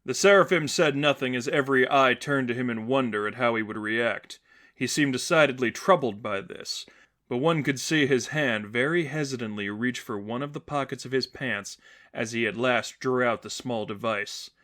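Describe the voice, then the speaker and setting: A man reading a story aloud as dramatic narration, in a measured, earnest, suspenseful manner. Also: medium pitch, fairly bright tone. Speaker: narrator reading fan fiction.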